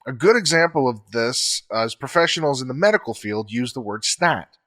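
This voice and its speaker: Guy reading out fun facts, steady scripted explainer, middle pitch. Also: brisk. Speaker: podcast co-host explaining facts.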